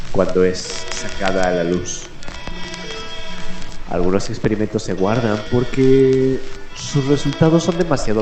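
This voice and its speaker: A man hosting a radio show in character as a 1940s commentator, delivering a halting, reflective monologue full of rhetorical questions. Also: fairly low pitch. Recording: echoey voice over clear background music. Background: music.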